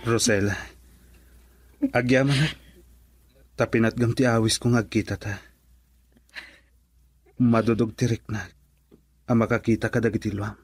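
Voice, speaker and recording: Lovesick filipino radio drama guy, raspy mid voice, pleading and sincere. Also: medium pitch, steady tone, measured pace, long pauses, soft background music. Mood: lovelorn, earnest. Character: earnest, lovelorn suitor Mario, devoted and hurt.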